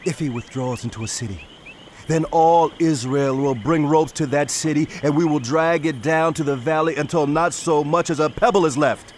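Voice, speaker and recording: An actor playing a shrewd, persuasive male royal adviser in a dramatized audio Bible, speaking with deliberate rhetoric. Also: fairly high pitch, darkish tone, slight background noise, natural voice. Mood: grave, persuasive.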